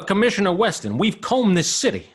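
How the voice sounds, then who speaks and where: An actor playing a defensive, rough-edged police detective in a radio drama, raspy and emphatically colloquial. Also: male voice, high voice, very animated, a little fast, heavily acted. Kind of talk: old-time radio drama acting.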